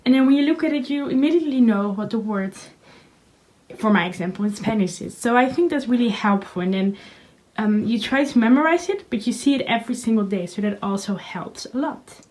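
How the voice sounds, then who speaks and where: A woman's voice: a little high, brisk, a little mellow. A young woman, a Dutch native speaker, casually sharing study tips in a home vlog with lots of fillers. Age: young adult. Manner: chatty, many fillers. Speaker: student vlogger. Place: small room at home. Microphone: phone camera.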